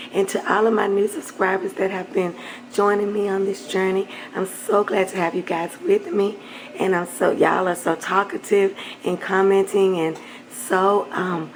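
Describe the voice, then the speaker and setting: A hoarse middle-aged woman chatting warmly to viewers while cooking in her home kitchen, often clearing her throat. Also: mid-range voice, low-quality recording. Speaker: cooking channel host. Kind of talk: casual cooking vlog chat.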